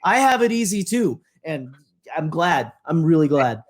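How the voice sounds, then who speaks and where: A man with a somewhat high-pitched voice co-hosts a casual podcast. He talks like a fan in loose conversation, wandering through his points, hesitating and restarting phrases midway. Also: big pitch swings. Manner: rambling, halting, often rephrasing mid-sentence. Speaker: podcast co-host.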